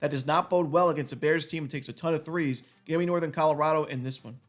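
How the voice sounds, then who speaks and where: A man with a cold, his voice going a little, giving a quick solo rundown of sports betting picks packed with stats. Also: fairly high pitch.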